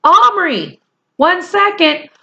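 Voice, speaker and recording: Reader doing an impatient mom hollering for her kid, woman's voice pitched way up. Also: sing-song, takes it slowly, creaky, bright, forceful tone, highs cut off, slight background noise, heavily acted. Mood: impatient, urgent. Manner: raised, sing-out calling. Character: impatient mother calling her dawdling son.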